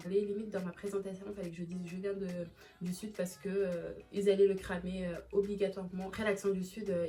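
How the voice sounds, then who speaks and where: Young woman vlogger, Marseille accent, chatty and slangy, casual storytime. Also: mid-range voice. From Marseille, southern France. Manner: chatty and expressive, slangy, with many fillers.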